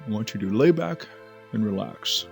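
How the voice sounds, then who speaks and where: A man's voice: lowish voice, animated, normal speed, gravelly, faint music behind. A man playing an affable hypnotist doctor in an audio drama, soothing and lightly joking. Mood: reassuring.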